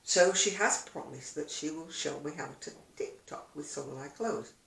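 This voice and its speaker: A woman's voice: deep voice, normal speed, vocal fry. An older woman chatting casually to camera as a video blogger, rambling along with self-deprecating asides in an echoey room. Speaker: video blogger and craft seller.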